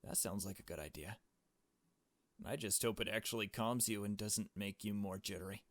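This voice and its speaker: A man voicing a calm, apologetic, cautious male getaway driver in a visual novel, speaking in a measured, polite and formal way. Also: on the low side, no echo, lightly acted. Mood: placating.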